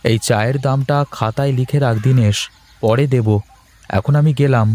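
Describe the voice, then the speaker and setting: A man's voice: medium pitch, fairly even intonation, normal speed, darkish tone, natural voice. A man voicing a weary, worried but proud working husband in a cartoon, with a homely, complaining delivery. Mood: weary, grumbling. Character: weary, worried married office worker, proud and plain-spoken. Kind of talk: Bengali cartoon voice acting.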